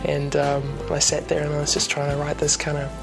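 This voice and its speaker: A young man in his twenties with a New Zealand accent, chatting as a musician guest in a relaxed, rambling way with lots of ums and restarts. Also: a little high, fairly quick, creaky, over music. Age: 20s. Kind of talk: casual interview chat. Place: TV studio couch.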